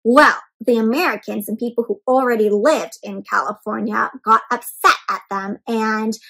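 A young woman hosting an interactive read-aloud for small children, expressive and full of questions addressed straight to her listeners. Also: on the high side, normal speed, vocal fry, husky. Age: young adult.

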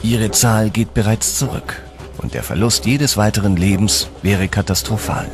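Low-voiced male documentary narrator over music. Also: moderate pace. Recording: dramatic music under the voice. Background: background music.

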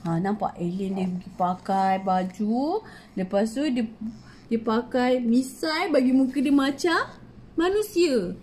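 A woman's voice: on the high side, lively intonation, unhurried, darkish tone. A Malaysian woman, a native Malay speaker, reads a picture book to a young child, explaining it simply with repetition. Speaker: parent reading to a young child. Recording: noisy home phone recording.

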